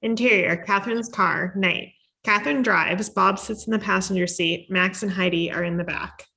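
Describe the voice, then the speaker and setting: A woman narrates scene headings and stage directions from a script. Her voice is bright and crisp, mid-pitched for a woman. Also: steady tone, normal speed.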